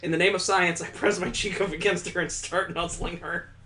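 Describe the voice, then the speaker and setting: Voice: mid-range voice, steady tone, fairly quick, pushed and bright, slight background noise. A let's-play host reading a visual novel aloud in a dramatic narrating style, breaking off into wry, incredulous, casual asides.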